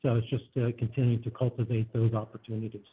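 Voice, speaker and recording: A man speaking as a company executive, answering investor questions off the cuff in measured corporate language over a conference call. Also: medium pitch, flat delivery, slightly rough. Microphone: conference phone call. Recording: narrow tinny phone line.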